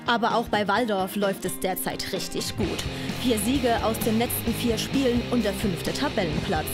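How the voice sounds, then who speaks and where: Upbeat woman narrating over loud music. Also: mid-range voice. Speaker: sports news narrator. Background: loud backing music.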